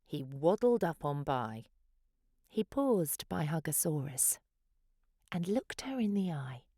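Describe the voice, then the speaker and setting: Expressive, slow-paced woman storyteller. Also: low voice, no room sound, clean studio sound. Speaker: children's story reader. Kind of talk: expressive picture-book read-aloud.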